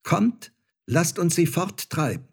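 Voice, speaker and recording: A man's voice: a little high, sing-song, takes it slowly, creaky, husky, voice pushed up, exaggerated voice. A man voicing a proud, cruel young boy who mocks others in a fairy tale. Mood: scornful. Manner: taunting, contemptuous.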